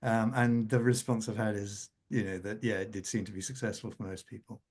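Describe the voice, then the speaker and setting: A man speaking as a scriptwriter in an unscripted panel conversation, halting and often repeating words as he goes. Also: lowish voice, fairly even intonation, darkish tone, breathy edge.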